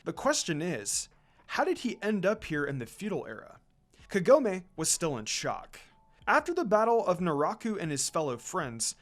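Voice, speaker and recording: Man, fanfic narrator, animated pitch, slightly high voice, faint music behind. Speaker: fanfiction reader. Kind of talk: storybook narration.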